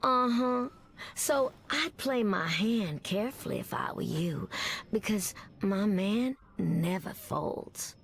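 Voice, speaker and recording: An actress playing a sassy, flirty superheroine with a Southern American accent and folksy pet names, in a video game. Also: female voice, medium pitch, lively intonation, slow, vocal fry, husky, heavily acted. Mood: sassy, confident.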